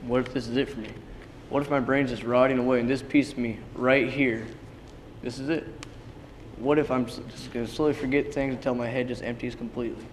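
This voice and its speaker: A man's voice: medium pitch, fairly even intonation, brisk, natural voice. Man acting anxious, confused man, echoing hall. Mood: despairing. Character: Jeremy, frightened, confused man losing his memory. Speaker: drama student actor. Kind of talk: dramatic reading of a stage play. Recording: reverberant hall with background noise.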